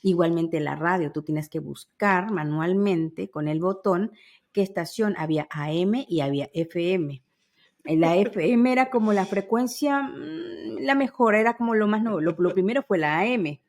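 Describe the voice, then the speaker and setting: A woman's voice: on the low side, lively intonation, measured pace, darkish tone. A woman in her fifties hosting a podcast, chatting casually and nostalgically in an enthusiastic storytelling way. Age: 50s. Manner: enthusiastic, storytelling with spoken sound imitations. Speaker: podcast host.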